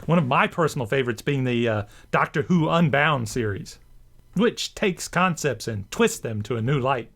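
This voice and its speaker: A man's voice: medium pitch, animated, moderate pace, fairly bright tone. A man hosting a show with scripted commentary, speaking in wordy, bookish phrasing. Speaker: host of a rant and commentary show. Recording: music behind the voice. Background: background music.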